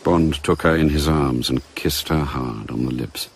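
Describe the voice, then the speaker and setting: An actor narrating a spy thriller radio drama as a grave, measured storyteller with an English accent. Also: male voice, rumbling low, a little hiss or hum. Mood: sombre, reflective.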